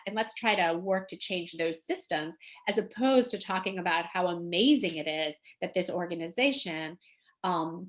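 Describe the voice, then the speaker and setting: Middle-aged woman, slightly low voice, presenting. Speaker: guest lecturer, humanitarian nurse. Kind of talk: informal online presentation. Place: small indoor room at home or office. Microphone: computer mic on video call.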